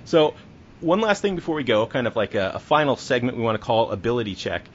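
A man's voice: medium pitch, very animated, fast talker, a little hiss or hum. A man hosting a podcast, interviewing his guest in a casual conversational style. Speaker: podcast host and interviewer, a writer. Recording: dull lo-fi audio.

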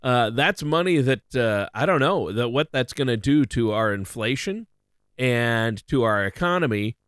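A man's voice: medium pitch, lively intonation, unhurried, a bit bright, clean tone, dry recording, clean studio sound. A man hosting a financial radio show in a conversational style.

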